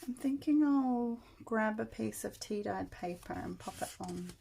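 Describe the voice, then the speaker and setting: A woman getting over a cold, her voice still croaky, chatting casually as she works on a journal at her craft table. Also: mid-range voice, unhurried, warm tone, slight background noise. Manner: chatty, thinking aloud while working. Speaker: crafter demonstrating journal making.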